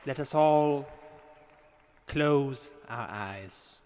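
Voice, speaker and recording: A man playing Juliet, a serene, stubborn new-age guru woman, speaking in slow, solemn, commanding phrases as in an improvised comedy meditation. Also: medium pitch, darkish tone, big-room sound, a faint crowd, slight background noise. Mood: solemn, hypnotic.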